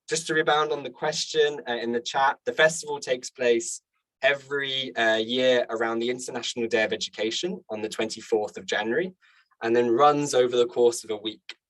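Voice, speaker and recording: A man's voice: mid-range voice, fairly bright tone, low-quality recording. A man hosting an online event in an informal presenting style, with an upbeat, appreciative manner. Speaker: event host.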